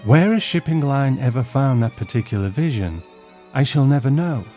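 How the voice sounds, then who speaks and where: A man voicing a gracious, refined old married woman with measured, reflective phrasing, in a storybook reading. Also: medium pitch, expressive, warm tone, faint music behind, pitched above the performer's own voice, close to an everyday voice. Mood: tender. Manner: measured, ornate, philosophical phrasing.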